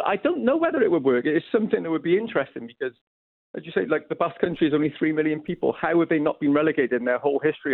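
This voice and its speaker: A man's voice: a little high, gravelly, no echo. Welsh man, phone-quality line, dry sound, stammering restarts, keen. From Wales. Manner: hesitant, repeats words, enthusiastic. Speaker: author guest. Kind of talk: phone-in radio interview.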